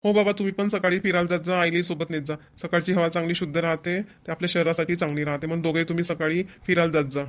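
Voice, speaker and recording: Very fast, high male pitch, man playing dutiful young cartoon husband, fussy, Vidarbha Marathi accent. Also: steady tone, lightly acted. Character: young married son, dutiful, mildly nagging. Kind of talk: amateur family cartoon voice acting.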